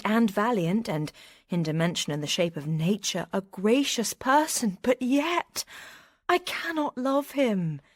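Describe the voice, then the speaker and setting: A woman's voice: mid-range voice, dry recording. An actress playing a proud, witty young noblewoman with an arch, haughty, self-possessed manner, in a Shakespearean radio drama. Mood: firm, weary. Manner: arch, dry wit, measured.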